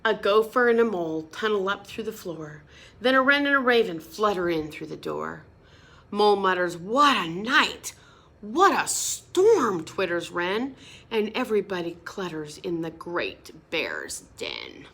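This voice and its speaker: A woman reading a picture book aloud to children in a sing-song, expressive way, pausing to explain words and ask questions. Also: lowish voice, gravelly. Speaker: storybook reader.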